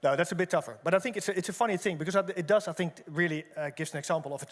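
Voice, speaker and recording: Fast-talking man on a conference stage. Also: high-pitched. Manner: quick, animated, asks the audience questions, repeats "right?". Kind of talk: on-stage conversation. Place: large conference hall stage. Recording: long reverb of a large hall.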